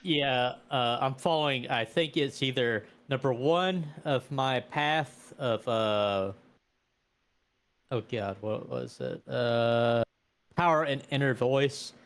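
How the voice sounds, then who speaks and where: A man's voice: medium pitch, slow pace, fairly bright tone. A man playing a tabletop role-playing game, talking casually at the table.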